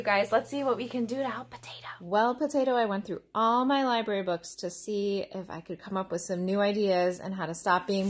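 A woman in her thirties presenting a children's storytime in a playful, exaggerated, encouraging manner, recorded in a small room at home. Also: medium pitch. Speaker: library storytime host.

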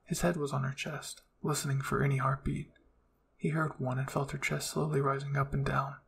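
A man's voice: medium pitch, flat delivery, moderate pace, vocal fry, fairly bright tone, slight background noise. A man reading scripted fan fiction aloud as a storyteller. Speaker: storyteller, channel host.

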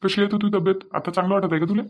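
A high-pitched, quick-talking young cartoon son played by a man with a Varhadi Marathi accent. He is earnest and anxious. His words hurry along and circle back on themselves. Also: fairly even intonation. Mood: concerned. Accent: Varhadi Marathi of Vidarbha. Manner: earnest, hurried, repetitive. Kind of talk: family cartoon dialogue.

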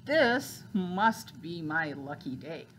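A woman voicing a hungry, gruff male fox in a storybook, boastful and growling, eager for his dinner. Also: on the low side, big pitch swings, slow, fairly bright tone, slight background noise, full character voice. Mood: gloating.

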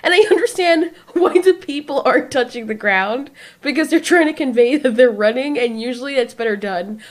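A woman's voice: high voice, fairly quick. A woman giving casual reaction commentary in a sarcastic, exasperated manner. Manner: sarcastic, exasperated, mildly profane. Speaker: reaction video host.

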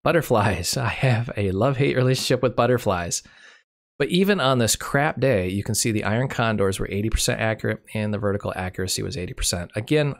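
Man with a cold, low voice. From Michigan. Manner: unscripted, self-correcting, dry humor. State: has a cold, losing his voice. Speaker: channel host. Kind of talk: casual screen-share walkthrough.

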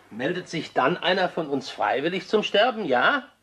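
An actor playing an agitated, horrified man in a radio play, speaking haltingly and breaking off mid-sentence. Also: on the high side, sing-song, pushed and bright, breathy edge, exaggerated voice. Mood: bitter, mocking. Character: agitated, horrified man refusing a deadly experiment. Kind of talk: German radio play acting.

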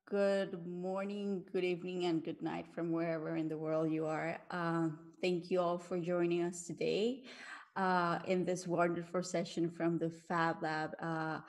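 A woman in her thirties hosting an online panel, introducing it haltingly with frequent pauses. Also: lowish voice. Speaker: session host. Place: small room at home, on a video call.

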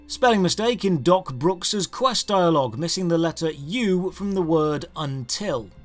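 A British man in his thirties narrating a scripted, critical video game review in an emphatic, sardonic manner. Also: high voice. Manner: emphatic, rhetorical questions, sardonic.

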